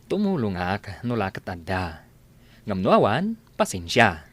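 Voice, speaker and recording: A man's voice: lowish voice, wildly swooping pitch, unhurried, fairly bright tone, full character voice. A man with an Ilocano accent playing a kind, patient village husband in a radio comedy drama. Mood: reassuring.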